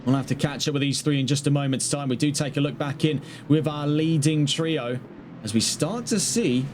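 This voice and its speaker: Male race caller, fairly high voice, brisk play-by-play with chatty asides, steady background hiss under the mic. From not Dutch. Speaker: race commentator. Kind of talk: live sim-racing play-by-play commentary.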